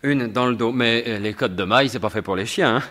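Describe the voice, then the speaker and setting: An actor playing a wry, self-mocking gentleman burglar in a theatrical, colloquial 1950s French radio drama style. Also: medium pitch, a little fast, close to an everyday voice. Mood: smug, amused. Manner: theatrical, talks to himself, colloquial.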